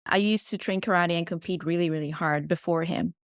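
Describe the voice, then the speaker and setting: A woman's voice: lowish voice, fairly quick, no room sound, clean studio sound. A woman whose first language is Spanish, a podcast guest chatting casually and sometimes searching for words. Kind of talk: casual interview chat.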